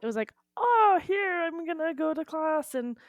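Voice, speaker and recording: A woman's voice: very high voice, animated, moderate pace, no echo. A woman co-hosting a podcast in casual chat, playfully acting out imagined lines. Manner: giggly, acts out imagined lines. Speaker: podcast co-host.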